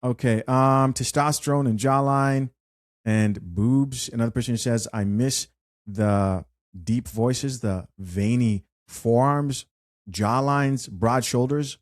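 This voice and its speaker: A man hosting an unscripted commentary show, chatty and animated as he reads viewer comments aloud. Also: mid-range voice, slow, no room sound, clean studio sound. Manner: chatty, animated, with frequent fillers and restarts. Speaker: host.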